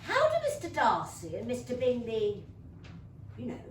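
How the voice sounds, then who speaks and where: A woman's voice: fairly high pitch, huge pitch swings, normal speed. A woman playing a flustered, scandalised middle-aged English mother in a period stage comedy. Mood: coy, curious. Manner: flustered, hesitant, exclaiming. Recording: distant echoey theatre recording, background noise.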